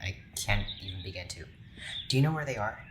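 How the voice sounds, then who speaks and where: An actor playing a dry, sarcastic male scientist in an audio drama, with a clinical, deadpan delivery. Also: fairly low pitch, brisk, slight background noise. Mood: puzzled, reserved.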